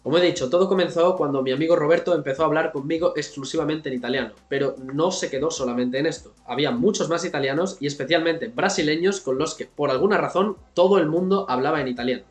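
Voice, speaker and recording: A man's voice: a little high, fast, hardly any pauses. A man of twenty-one with a Castilian Spanish accent, explaining things to viewers in an articulate, didactic vlog style. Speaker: language-learning video creator and host. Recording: roomy, echoing sound.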